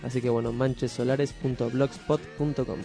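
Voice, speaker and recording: A man with an Argentine accent co-hosting an informal radio show, giving recommendations in a halting, hesitant way. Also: mid-range voice, steady tone, creaky, over loud music.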